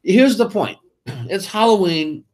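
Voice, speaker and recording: A man's voice: high voice, takes it slowly, poor audio. A man co-hosting a casual political talk show, arguing emphatically with many restarts. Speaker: co-host.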